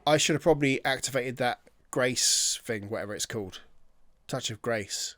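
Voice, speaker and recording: A man's voice: medium pitch, moderate pace, fairly bright tone. A man hosting a gameplay video, thinking aloud in informal, casual commentary.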